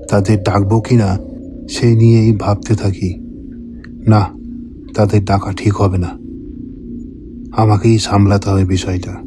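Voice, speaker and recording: Male horror narrator: lowish, mellow, unhurried and dramatic, reading scripted first-person story over music.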